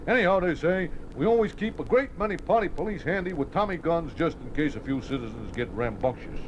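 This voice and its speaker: An American actor playing a folksy, defensive racetrack bookie in a 1950s radio drama, talking in streetwise slang with stammered repetitions. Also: male voice, fairly high pitch, lively intonation, normal speed, lo-fi sound, lightly acted. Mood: explaining, self-justifying. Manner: streetwise slangy talk, hesitant repetitions, aggrieved. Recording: noisy old radio broadcast recording.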